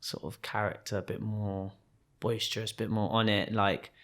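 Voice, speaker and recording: A man's voice: on the low side, flat delivery, normal speed, a bit bright. A young man from Kenya, a podcast guest, telling his story in a reflective, rambling way with frequent restarts and fillers.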